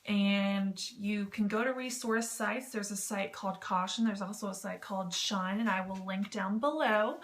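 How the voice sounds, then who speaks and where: Woman, medium-pitched voice, casual chatty vlog explainer, self-deprecating and jokey, roomy echo. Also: moderate pace, hardly any pauses. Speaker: vlog host giving tips on written role-playing. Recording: roomy echo with clear background noise.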